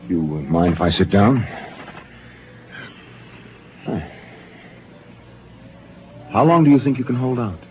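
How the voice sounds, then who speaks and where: A deep, steady starship captain. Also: male voice, a little hiss or hum. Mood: calm. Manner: measured and commanding. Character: calm, steady male expedition captain. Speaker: spaceship captain. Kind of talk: 1950s radio drama acting. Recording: lo-fi old radio broadcast.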